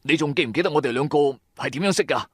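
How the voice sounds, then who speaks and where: A man's voice: a little high, rapid-fire, slightly rough, no room sound, clean studio sound, pitched above the performer's own voice. A man voicing a loyal, scatterbrained young sidekick in a radio drama, colloquial, hesitant and confiding. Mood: hesitant, earnest. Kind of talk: radio drama storytelling dialogue.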